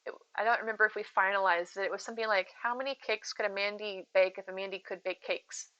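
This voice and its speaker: A woman's voice: mid-range voice, fairly even intonation, quick pace, fairly bright tone, highs cut off. A woman streamer chatting casually with her viewers, rambling and giggling as she goes, with a soothing voice. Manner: chatty, rambling, giggly, addresses chat by name. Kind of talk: casual live-stream chat.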